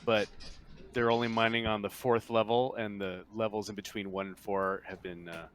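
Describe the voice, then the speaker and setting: A man briefing players as game master of a tabletop roleplaying game, explaining informally and haltingly with many pauses. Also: lowish voice, flat delivery, a bit bright, a little hiss or hum.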